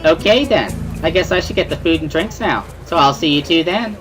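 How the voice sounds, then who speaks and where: A man's voice: fairly high pitch, expressive, brisk, fairly bright tone, pitched above the performer's own voice, low-quality recording. A man voicing a cheerful, chatty teenage schoolgirl in a dramatic reading of a visual novel, with a bubbly, friendly manner. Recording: music behind the voice.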